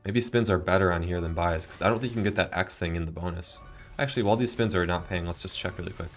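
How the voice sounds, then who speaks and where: Low-voiced young man on a headset. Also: faint music behind. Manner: relaxed, halting, trails off. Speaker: slot streamer co-host. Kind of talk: casual chat over gameplay. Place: home streaming setup, indoors.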